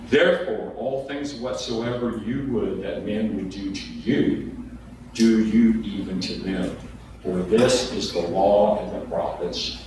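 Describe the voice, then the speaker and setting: A man preaching a sermon, earnest and folksy, sometimes restarting his words. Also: deep voice. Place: church sanctuary. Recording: echoey hall, distant mic, background noise.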